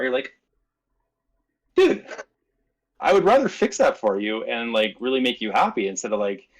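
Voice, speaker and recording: A man's voice: fairly low pitch, husky. A man from the Canadian prairies with a Canadian accent, an indie developer chatting casually on a video call, filling his talk with "like.". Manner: chatty, lots of "like" fillers, earnest. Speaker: indie game developer, guest.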